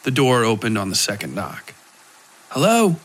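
A man giving a scripted narration of a dark horror tale for a fiction podcast. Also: medium pitch, fairly even intonation, moderate pace. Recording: clear music behind the voice.

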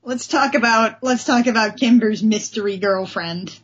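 A woman's voice: a little high, moderate pace, husky, lo-fi sound. A woman hosting a casual comic book discussion, speaking in an enthusiastic, informal way. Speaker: podcast host.

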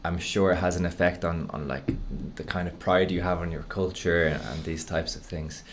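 An easygoing man hosts a casual chat, working his way through curious questions with many "um"s. His voice is very deep, and a faint hiss of noise sits under his words. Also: steady tone, normal speed. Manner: easygoing, curious, hesitant with fillers. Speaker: podcast host.

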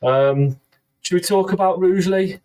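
A man's voice: high-pitched, moderate pace, a little mellow. A man co-hosting a podcast as a running coach, teasing dryly and steering the talk. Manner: dry teasing, steers the conversation. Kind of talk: casual chat.

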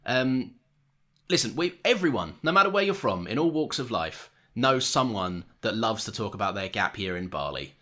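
A man's voice: lowish voice, normal speed. A man co-hosting a casual podcast, laughing often and acting out characters' lines as he tells the story. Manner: laughing, animated storyteller who acts out lines. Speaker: podcast co-host. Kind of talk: casual chat.

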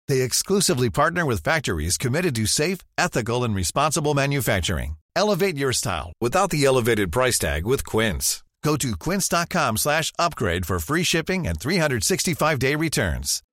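A man's voice: mid-range voice, sing-song, normal speed, few pauses, a bit bright, no room sound, studio quality. A man reading a scripted commercial with an upbeat, salesy delivery. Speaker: ad announcer. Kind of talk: scripted ad read.

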